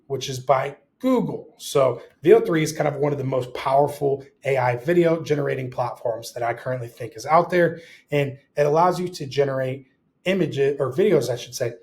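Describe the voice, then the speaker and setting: A man's voice: medium pitch, a little mellow. A man presenting a casual, explanatory tutorial as an instructor, posing short rhetorical questions as he goes.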